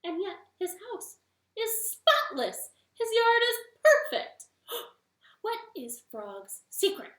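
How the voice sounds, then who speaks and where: A woman's voice: extremely high pitch, big pitch swings, takes it slowly, a bit bright, echoey room, voice pushed up, exaggerated voice. A woman voicing a lazy, indignant male trickster spider in a storybook tale, talking in emphatic exclamations. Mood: amazed. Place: living room.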